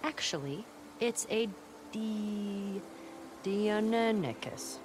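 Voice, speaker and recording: A woman playing a teasing, excitable, foul-mouthed teenage girl in a naturalistic video game performance, casual and playful. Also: mid-range voice, extremely slow, noisy background.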